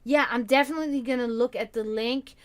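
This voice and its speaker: A tired woman with a Serbian accent, chatting casually with viewers on a livestream, rambling and restarting her sentences. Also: fairly high pitch. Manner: rambling, halting, with many restarts and fillers. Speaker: livestream host. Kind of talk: casual chat with stream viewers.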